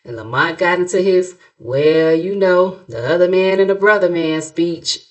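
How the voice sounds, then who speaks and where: Sassy American woman with a lowish voice. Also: moderate pace. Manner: colloquial, playful, mocking, dramatic retelling. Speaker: YouTube commentary host, narrator. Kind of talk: sassy, gossipy reaction commentary.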